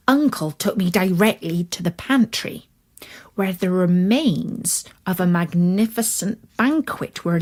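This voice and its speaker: A woman storyteller reading a scripted children's fairytale in the first person, in an expressive, deliberate manner. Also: mid-range voice, husky. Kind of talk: scripted fairytale narration in character.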